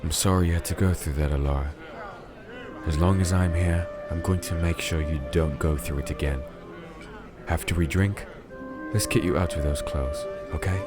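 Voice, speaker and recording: An actor playing a weary, protective crusader in a fantasy audio drama, speaking in a measured, brooding way. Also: male voice, deep voice, steady tone, lightly acted. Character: disenchanted male crusader, weary, gruff, protective, narrating his tale. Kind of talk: dramatic audio drama acting and first-person narration. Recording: music playing behind.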